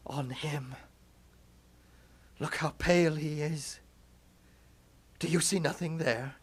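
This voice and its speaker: A man's voice: a little high, fairly even intonation, slow pace, husky. A man acting a mocking, impulsive, grief-maddened young prince in a theatrical Shakespearean puppet show. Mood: awed agitated. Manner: dramatic, declaiming, sardonic.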